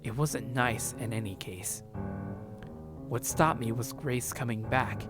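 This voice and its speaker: A woman's voice: a bass voice, takes it slowly. A woman reading a visual novel aloud as a streamer, stumbling over words and breaking off to comment. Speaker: let's play streamer. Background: background music.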